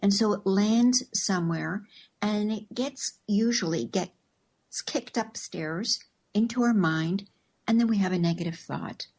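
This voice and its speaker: A woman speaking as a radio show guest, explaining in a thoughtful, measured, conversational way. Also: medium pitch, a little mellow, breathy edge.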